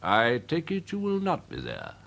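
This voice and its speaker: An actor playing a pompous, snobbish older Victorian major with an upper-class English accent, fussy and blustering, in a radio drama. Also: male voice, mid-range voice, huge pitch swings, normal speed, vocal fry, fairly bright tone, exaggerated voice. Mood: smug, fussy. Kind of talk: British radio drama acting.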